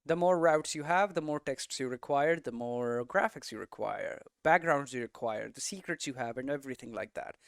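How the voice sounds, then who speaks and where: A man giving an informal explanatory lesson as a presenter, posing questions and answering them himself in halting, emphatic phrases. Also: medium pitch, creaky, smooth, no echo, studio quality. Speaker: presenter of a game development explainer series.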